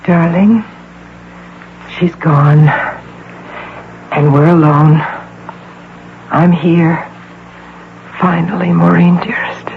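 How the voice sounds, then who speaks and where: A woman's voice: fairly low pitch, steady tone, drawn-out words, gravelly, pauses often, heavily acted. An actress playing a domineering, deluded executive woman who poses as a doting mother, in insistent 1980s radio drama style. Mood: tender, possessive. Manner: insistent, theatrical. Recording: tinny, noisy old radio broadcast.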